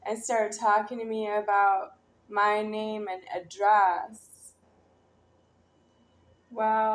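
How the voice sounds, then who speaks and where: A woman giving a halting, disjointed, rambling monologue, as if addressing someone directly. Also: a little high. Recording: roomy, distant, noisy home recording.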